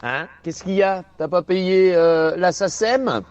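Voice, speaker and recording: Taunting CB radio user, man, slightly high voice, muffled lo-fi radio with faint hiss. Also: takes it slowly, darkish tone. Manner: jeering, laughing at his own jokes.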